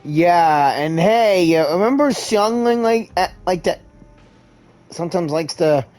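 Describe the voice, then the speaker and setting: A woman commentates casually on a video game. Her voice is low and her pace is slow, with laid-back little asides. Faint music plays underneath. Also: animated, a little mellow. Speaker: gaming streamer. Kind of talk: casual let's-play commentary.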